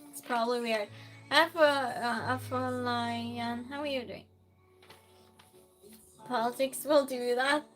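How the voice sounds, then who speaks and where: Norwegian lady streamer chatting with viewers, high voice, slow pace. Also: fairly even intonation, fairly bright tone, soft background music. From Norway. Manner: chatty, reads out chat messages and asks would-you-rather questions. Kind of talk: casual chat reading viewer comments.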